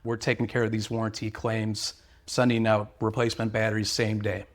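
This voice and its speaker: A man's voice: fairly low pitch, completely flat delivery, brisk. A man, an earnest, sales-minded company representative explaining products and service in interview answers.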